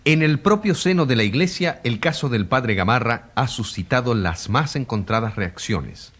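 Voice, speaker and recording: A grave radio reporter narrating a drama. His husky, mid-pitched voice swings expressively in a declamatory, rhetorical delivery. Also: expressive, moderate pace, fairly bright tone. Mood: grave, dramatic. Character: grave male radio reporter covering a controversial trial.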